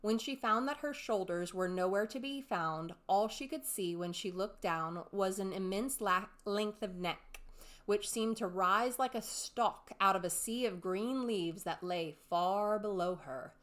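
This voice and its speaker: Woman reading a storybook out loud, normal mid pitch, audiobook narrator type. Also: unhurried. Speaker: audiobook reader.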